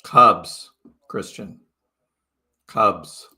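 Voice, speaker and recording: A man's voice: fairly low pitch, drawn-out words, pushed and bright. A man from Chicago hosting a crypto livestream, chatting casually and greeting viewers by name. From Chicago, United States. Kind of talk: casual live chat reading viewer comments.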